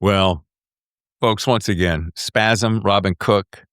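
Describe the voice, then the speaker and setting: A man's voice: on the low side, takes it slowly, a bit bright, clear, resonant tone, dry recording, studio quality. A man hosting a podcast, interviewing in a chatty way with emphatic, showy phrasing. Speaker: podcast host.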